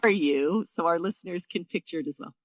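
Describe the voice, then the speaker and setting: Podcast host, female, slightly low register, enthusiastic and effusive conversational delivery. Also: brisk, no echo.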